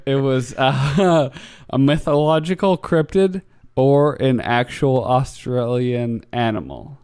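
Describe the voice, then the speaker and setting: A man's voice: medium pitch, expressive, darkish tone, smooth. A Canadian man rambling through jokes in casual podcast banter, stumbling and restarting. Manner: rambling, halting, joking.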